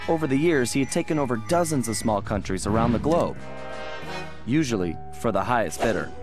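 A man's voice: mid-range voice, natural voice. A man as a suave cartoon raccoon thief. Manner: wry, confident. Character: suave cocky young male raccoon thief narrating his heist. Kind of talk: cartoon game narration. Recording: music behind the voice.

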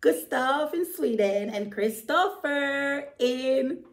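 High-pitched, slow-speaking woman storyteller. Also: animated. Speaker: children's storyteller. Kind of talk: read-aloud narration for children.

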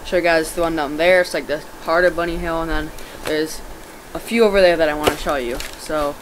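A man's voice: on the high side, steady tone, a little fast. A young man vlogging casually outdoors in a snowy yard, rambling with plenty of ums and likes, recorded on a camera mic. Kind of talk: casual outdoor vlog narration. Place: snowy backyard hillside outdoors. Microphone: camera mic, handheld or far camera. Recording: noisy outdoor camera audio.

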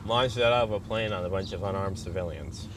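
A man's voice: low voice, fairly quick, fairly bright tone. A young man chatting casually in a blunt, exaggerating way. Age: young adult. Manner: blunt, sweary, hyperbolic. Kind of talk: casual chat. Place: outdoors by a fence near a drive-through. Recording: clear traffic noise outdoors.

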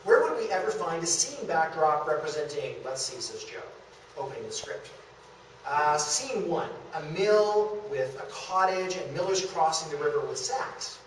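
A man's voice: fairly high pitch, expressive, normal speed. A man, seemingly in middle age, giving a storytelling history talk to slides, acting out bits of conversation. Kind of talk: public lecture with slides, storytelling. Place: small indoor lecture room at a historic house. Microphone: camera a few metres away. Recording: distant camera mic, very echoey room, faint noise.

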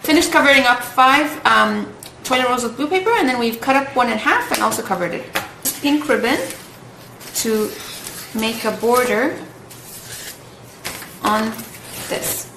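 Woman doing casual craft tutorial, bit of fry in her voice, noisy echoey room. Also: on the high side, lively intonation, measured pace. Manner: encouraging, praising. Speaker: craft tutorial host and mother. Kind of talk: casual step-by-step craft instruction to camera and child. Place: indoors at a home dining table. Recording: roomy echo, clear background noise and clicks.

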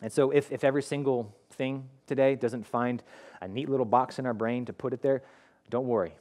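A man in his thirties preaching a sermon in a school hall, talking in a conversational, animated way with many restarts, on a headset mic. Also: mid-range voice, fairly quick. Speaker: pastor. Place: school hall with curtained stage.

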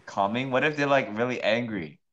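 A man's voice: mid-range voice, a little fast, fairly bright tone, highs cut off. A young man hosting a casual live stream from a room at home, talking close to his phone and teasing his guests with frequent laughs. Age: young adult. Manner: teasing, laughs often, plays an irate customer. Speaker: livestream host. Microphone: phone held close.

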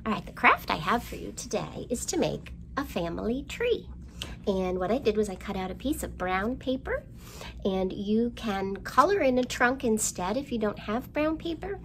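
Middle-aged female storytime host, very varied pitch, child-directed delivery, some clear background noise. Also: big pitch swings, normal speed, a bit bright. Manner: simple child-directed talk with questions and exaggerated delivery. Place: indoor room, seen at a storytime backdrop.

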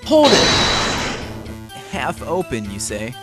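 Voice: medium pitch, wildly swooping pitch, extremely slow, over music, exaggerated voice. An actor playing a young, unsure rookie defense lawyer in an anime-style game fan dub. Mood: challenging.

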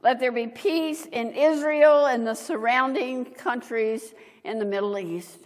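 An older woman, a pastor, reading prayers and liturgy in a solemn, measured manner, phrase by phrase, at a church lectern. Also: fairly high pitch. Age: older, 70s. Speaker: pastor leading worship. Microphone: gooseneck lectern microphone and clip-on. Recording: reverberant church hall.

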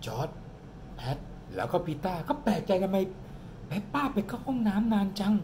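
A man's voice: sits high, vocal fry, a little hiss or hum. A man in his forties improvising a ghost story for his young daughter at home, dramatic and drawn out for suspense. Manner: animated, dramatic storyteller who slows down for suspense. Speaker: father telling a bedtime-style ghost story to his daughter. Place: home living room, indoors. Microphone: camera on the table in front, close.

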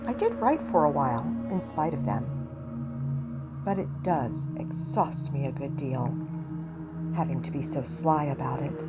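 A woman reading a first-person literary story aloud as a dramatic audiobook narration. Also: low voice. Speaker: audiobook reader. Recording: dull lo-fi, music behind. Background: music.

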